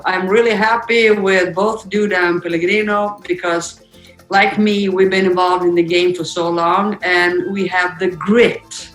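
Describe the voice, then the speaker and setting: An older woman with Swedish as her first language, answering interview questions thoughtfully over a video call, with fillers and pauses. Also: fairly low pitch, fairly even intonation, echoey room, faint music behind, rough recording. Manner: thoughtful, halting speech with fillers. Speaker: interview guest, football coach. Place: office room indoors.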